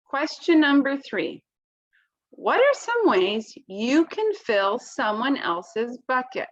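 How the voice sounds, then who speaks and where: A woman reads a children's story aloud in a high, lively voice that moves up and down a lot. She explains things simply and expressively. The sound is slightly dull. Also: takes it slowly. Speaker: children's picture book reader.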